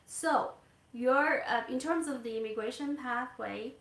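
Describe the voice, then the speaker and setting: A soft-spoken woman who works as an immigration consultant, explaining steps gently with hesitant fillers. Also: sits high, unhurried, roomy sound. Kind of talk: explaining to a live audience. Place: Canada.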